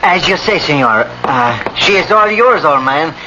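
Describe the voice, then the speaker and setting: Smug young lover, lively pitch. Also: male voice, a little slow. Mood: mocking. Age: young adult. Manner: theatrical, smug, smoothly insolent. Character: brash, mocking young Latin lover named Alberto. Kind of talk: 1980s radio drama acting. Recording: dull, noisy vintage radio recording.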